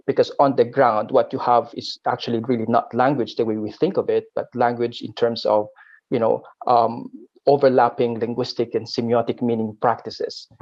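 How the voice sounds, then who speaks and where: A man's voice: medium pitch, level tone, a little mellow. Filipino academic guy, aklanon, midrange voice, keeps repeating words, kinda muffled lo-fi sound. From Philippines. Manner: halting, with frequent word repeats. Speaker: academic panelist. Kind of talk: academic roundtable talk.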